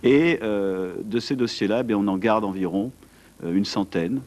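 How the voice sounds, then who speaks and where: Chatty low-voiced man in a TV studio. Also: moderate pace, a little mellow. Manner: chatty, hesitant, many fillers and restarts. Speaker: art event organizer. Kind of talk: TV studio interview. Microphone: desk mic.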